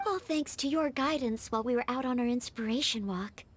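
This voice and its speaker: A woman voicing a sweet, earnest, admiring young girl in an animated video game. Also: on the high side, brisk, natural voice. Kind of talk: animated game voice acting with verse recitation. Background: music.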